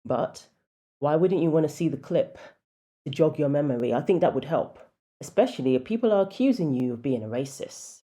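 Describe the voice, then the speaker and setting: A woman with a deep, low-pitched voice talks through her opinions in a casual commentary vlog. Her tone is mellow and dark, and she drops wry asides between points. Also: expressive, brisk.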